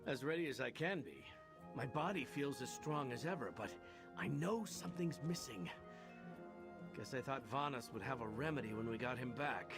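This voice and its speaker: A man's voice: medium pitch, expressive, normal speed, close to an everyday voice. A man playing a good-natured, wry knight in a video game, easygoing and self-deprecating. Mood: humble, sincere. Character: wry, good-natured male knight who lost his powers. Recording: game music behind the voice.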